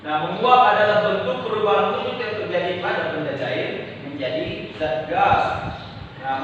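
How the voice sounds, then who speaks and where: Young indonesian guy teaching class, slightly high voice, sounds far off in a big echoey room. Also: noisy background. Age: young adult. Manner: explains slowly with frequent "nah" pauses. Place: classroom indoors. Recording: echoey roomy classroom, distant mic, dull.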